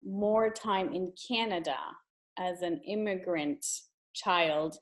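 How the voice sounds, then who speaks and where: A woman's voice: fairly low pitch, slow. A woman born in Ethiopia, interviewing a guest with long, conversational questions.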